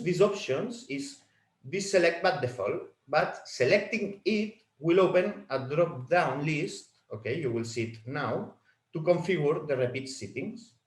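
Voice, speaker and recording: Slow, halting man in an echoey room. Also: a little high. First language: Spanish. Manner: halting, repeats words while clicking through settings. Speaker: product trainer. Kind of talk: software walkthrough webinar.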